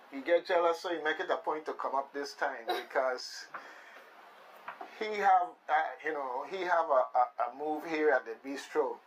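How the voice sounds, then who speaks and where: A man with a Caribbean accent from the Virgin Islands hosts casual live radio, talking playfully and haltingly and repeating his phrases. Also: fairly high pitch, a little hiss or hum, poor audio. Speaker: radio show host. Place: radio studio with shared microphones.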